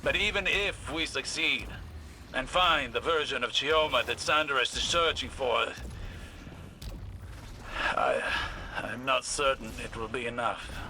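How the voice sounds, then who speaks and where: An actor playing a sober, doubtful scholar in a sci-fi game, speaking formally and gravely. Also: male voice, mid-range voice, a little slow, long pauses, pushed and bright. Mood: sober, apprehensive. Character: sober, doubtful male scholar fearing failure. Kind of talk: scripted sci-fi game dialogue. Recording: game dialogue over clear ambient noise.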